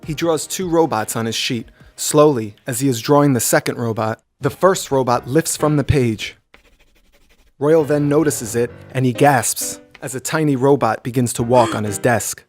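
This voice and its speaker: Male narrator, mid-pitched voice, scripted storytelling with music underneath. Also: moderate pace. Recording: music behind the voice. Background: background music.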